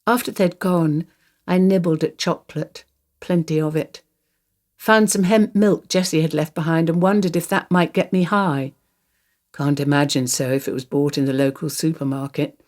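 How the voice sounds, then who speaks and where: Older lady acting a wry wistful granny telling her story in a radio play, low voice. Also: a little mellow, close to an everyday voice. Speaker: actor reading a monologue. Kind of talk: radio play monologue, first-person storytelling.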